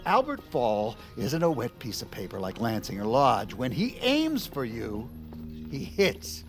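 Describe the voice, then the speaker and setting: An exasperated political insider in an audio drama. He is cynical, sarcastic and jumpy, his pitch leaping about as he sputters. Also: huge pitch swings, measured pace, soft background music. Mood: urgent warning. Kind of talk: audio fiction drama acting.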